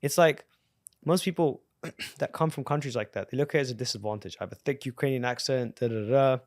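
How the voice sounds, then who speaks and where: A man's voice: medium pitch, a little fast, no echo, clean studio sound. A man hosting a podcast, talking in a casual, enthusiastic and profane way, full of bro slang. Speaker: podcast host and business coach. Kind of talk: casual interview conversation.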